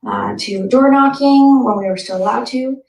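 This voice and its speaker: A woman rushing eagerly through a campaign self-introduction. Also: a little high, vocal fry, darkish tone. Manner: hurried, eager. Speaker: party regional director candidate. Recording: echoey, distant mic, faint noise.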